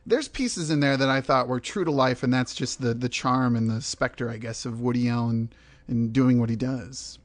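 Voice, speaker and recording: A man's voice: mid-range voice, moderate pace. A man with a mid-range voice hosts a film podcast. He delivers reviews and opinions in a conversational presenter's style. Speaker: podcast host. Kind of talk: film review, scripted intro and loose discussion.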